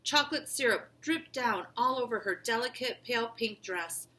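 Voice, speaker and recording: A woman reads a children's story aloud in the manner of a classroom teacher. Her voice is slightly high and distinctly bright. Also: animated, normal speed, a little hiss or hum, poor audio.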